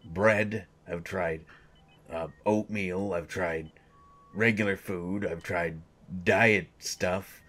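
A man voicing a gruff male sports coach who is slowly turning into a hungry monster, speaking haltingly and repeating himself. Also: low-pitched, fairly even intonation, fairly bright tone, heavily acted. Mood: hungry, troubled. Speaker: audio drama voice actor. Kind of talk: audio roleplay monologue.

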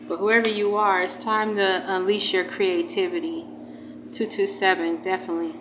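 A woman giving a casual spiritual tarot reading, informal and emphatic, repeating phrases and speaking straight to the viewer. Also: lowish voice. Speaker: tarot reader, channel host. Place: indoors at a table. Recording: clearly noisy home recording.

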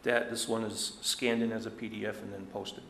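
A man in a roomy chamber, his voice carrying some echo over a faint background hum. He walks through the material step by step in a plain way, tossing in casual asides. His pitch stays fairly even and flat throughout. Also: moderate pace. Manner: plain, step-by-step walkthrough with "you know" asides. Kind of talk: walking through an online agenda at a public meeting. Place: council meeting room.

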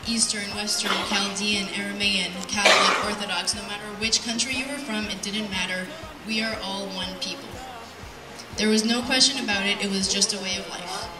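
A young adult woman giving an earnest, measured prepared speech at a podium in an event hall, reading from notes. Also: medium pitch, steady tone. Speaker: speaker at a community event. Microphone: handheld mic on PA, picked up by distant camera. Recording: roomy, distant sound with music.

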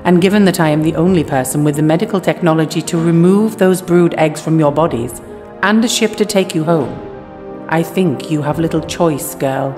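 A regal alien empress, played by a woman, low-voiced, formal and clipped. A long reverb trails her words, with faint music behind. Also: moderate pace, darkish tone, natural voice. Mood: stern.

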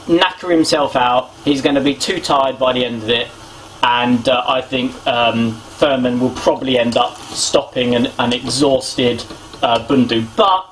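A young British man giving casual sports opinions as a vlogger, haltingly thinking aloud, recorded in a home kitchen on a camera mic. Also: mid-range voice, low-quality recording. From Britain. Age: young adult. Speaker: boxing vlogger. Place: small domestic kitchen indoors. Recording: clear background noise, homemade camera audio.

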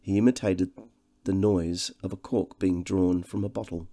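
A man's voice: deep voice, steady tone, moderate pace, mellow. A man reading literary narration as an audiobook narrator.

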